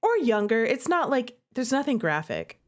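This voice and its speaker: High-voiced, playful woman streamer. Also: normal speed. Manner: playful, animated, chatty, addressing viewers directly. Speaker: streamer and visual novel creator hosting a playthrough. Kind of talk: casual livestream chat and game commentary. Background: music playing behind the voice.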